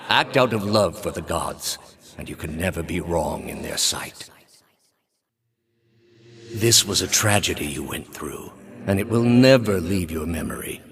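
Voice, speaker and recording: An actor playing a solemn, pious older high priest in a video game, calm and commanding, with a grave, measured, formal delivery. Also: male voice, vocal fry, exaggerated voice. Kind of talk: dramatic video game character dialogue.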